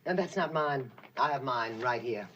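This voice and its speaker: A woman's voice: a bass voice, lively intonation, normal speed, noisy background. A woman playing a chatty, indignant and practical train passenger who talks emphatically. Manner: talkative, emphatic. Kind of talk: film dialogue.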